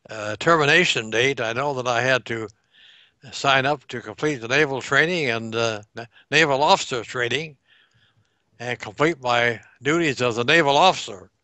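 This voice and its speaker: Man, nineties, veteran, slow careful recollection, headset call, dry sound. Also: medium pitch, bright, forceful tone, no echo. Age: 90s. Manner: slow, reflective recollection with pauses to recall dates. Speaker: World War Two veteran interviewee. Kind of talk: oral history interview over video call. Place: home room by a staircase. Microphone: headset on video call.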